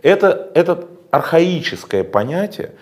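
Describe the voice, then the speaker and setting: A man's voice: fairly high pitch, very animated, a little slow, a little mellow. A man giving long, emphatic, lecturing answers in a political interview, with frequent hesitation sounds. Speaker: interview guest.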